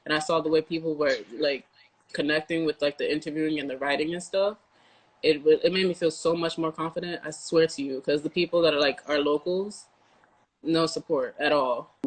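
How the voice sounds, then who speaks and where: A woman's voice: low voice, steady tone, a little fast, low-quality recording. A young woman with an American accent, hosting a casual interview in a blunt, informal way. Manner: blunt, informal, swears freely. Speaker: podcast host, interviewer.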